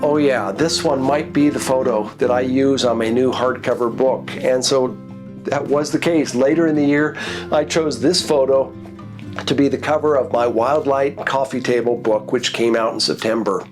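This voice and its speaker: A congested man with a stuffy, cold-heavy voice tells stories in a calm, reflective way as the host of his own video. His voice sits in an ordinary middle pitch. Soft guitar music plays faintly underneath him. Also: normal speed. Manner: relaxed, reflective storytelling. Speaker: nature photographer. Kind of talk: casual narrated photo recap.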